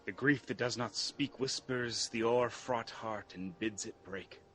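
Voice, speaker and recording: A man playing an earnest exiled prince in a Shakespearean radio drama, declaiming verse with a husky voice. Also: medium pitch, pushed and bright, soft background music. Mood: urging, stern. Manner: deliberate, declamatory verse delivery. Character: Malcolm, earnest exiled Scottish prince rallying for war.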